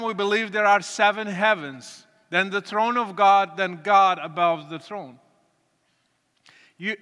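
A man from Morocco, giving an earnest, deliberate testimony to an audience, with pauses and restarts. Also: high-pitched, pushed and bright, clear, resonant tone. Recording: reverberant hall.

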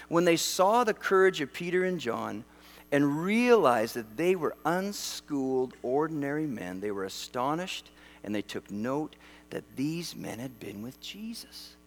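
A middle-aged man from Western Canada preaching a sermon in a lively, conversational way, with self-deprecating humor. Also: a little high. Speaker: pastor. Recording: long hall reverb.

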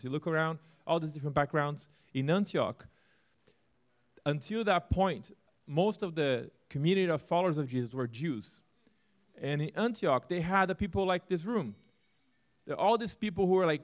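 A man from Brazil speaks with a Brazilian Portuguese accent, earnest and animated as he lays out a vision for a new church. His pitch sits in the ordinary middle range for a man, and he mixes in light self-deprecating humor. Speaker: church planter. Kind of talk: church presentation talk.

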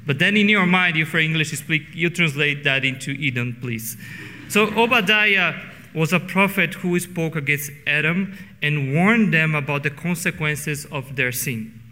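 A middle-aged Brazilian pastor preaches in English with a Portuguese accent, drawing out key words for weight. His voice is bright and sits a little high for a man. It rings out with the long reverb of a church hall. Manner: earnest, slows down for emphasis. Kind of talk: sermon. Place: church sanctuary.

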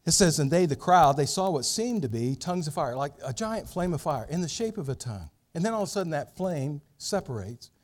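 A middle-aged male pastor preaching an expository sermon, telling the story dramatically with big swings in loudness. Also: on the high side, big pitch swings, brisk, few pauses.

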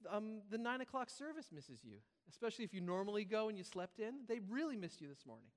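High-voiced male preacher in a reverberant hall. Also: sing-song, fast talker. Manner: repeats key phrases for emphasis. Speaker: pastor preaching to a congregation. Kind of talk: sermon, conversational and informal. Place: large reverberant room, preaching live to congregation.

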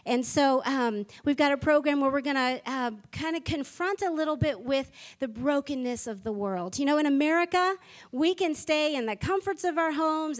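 A woman leading a ministry school, giving a fervent church mission appeal that builds to rousing declarations. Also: sits high.

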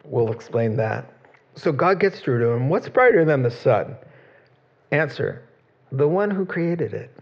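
Male preacher, gravelly tone, chatty sermon delivery, muffled lo-fi capture. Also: mid-range voice, sing-song, measured pace. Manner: conversational, quotes scripture, jokes with the congregation. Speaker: pastor.

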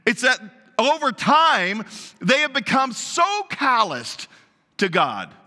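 A male pastor preaches in a naturally loud, emphatic voice, often asking his congregation questions. His voice sits high for a man and rings sharp and forceful. A long reverb trails after it, as in a large church sanctuary. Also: huge pitch swings, slow. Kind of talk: sermon.

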